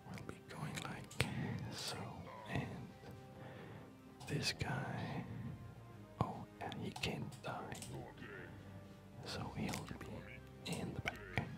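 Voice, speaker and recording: A man giving hushed, unhurried ASMR commentary on a game, trailing off into pauses. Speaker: host of an ASMR gaming channel. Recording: music running behind the whisper. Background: game music.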